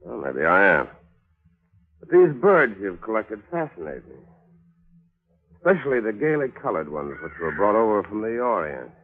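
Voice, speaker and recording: An actor playing a shrewd, probing newspaper writer, dry and insistent, in 1940s radio mystery style. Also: male voice, lowish voice, sing-song, moderate pace, long pauses, husky, phone-quality sound. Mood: cool, accusing. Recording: noisy, band-limited 1940s radio recording.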